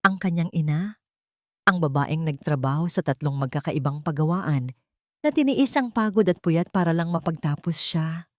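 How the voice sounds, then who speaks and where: Low-voiced Filipino woman narrating drama. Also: lively intonation, normal speed, no room sound, clean studio sound. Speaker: story narrator. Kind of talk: dramatic scripted audio story narration.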